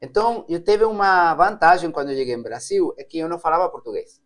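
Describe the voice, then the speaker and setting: A man's voice: fairly high pitch, quick pace, a bit bright. A man from Spain speaking with a Spanish accent, hosting a podcast interview in a direct, simple way. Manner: direct, simple phrasing, frequent laughs. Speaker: host and mentor.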